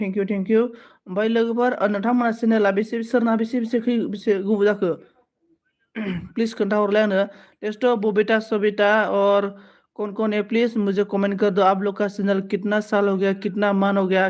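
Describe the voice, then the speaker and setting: Guy doing a live stream, high voice, kinda flat, thanks everyone over and over. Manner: switches between Bodo, Hindi and English phrases; repetitive thanks and requests for support. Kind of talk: casual live stream chat with viewers.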